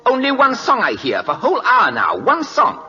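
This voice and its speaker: An exasperated, imperious king of Siam in an old radio play. His high voice is very forceful and swings wildly, in clipped pidgin English. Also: wildly swooping pitch, brisk, heavily acted. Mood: irritated. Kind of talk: 1940s radio drama acting. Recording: dull old radio recording, faint music.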